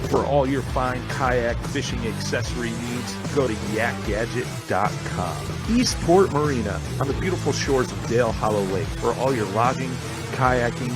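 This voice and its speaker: A man delivering a scripted sponsor read as a podcast network announcer. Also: medium pitch. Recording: loud guitar music under voice.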